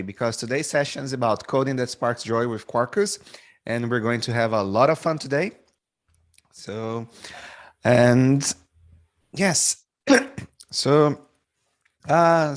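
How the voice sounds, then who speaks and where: Upbeat man from Brazil, presenting. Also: medium pitch. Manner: upbeat, joking. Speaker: guest speaker. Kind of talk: tech presentation.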